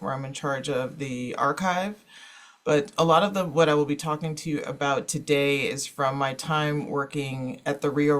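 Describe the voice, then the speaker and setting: A woman who works as a librarian, moderating an online panel and introducing it in an informal, conversational way. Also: low-pitched. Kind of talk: informal webinar hosting.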